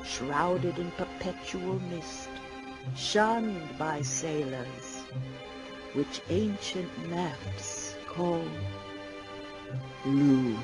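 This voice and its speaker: A man narrating an audio drama as a solemn, measured storyteller of legend. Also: a little high, music in the background.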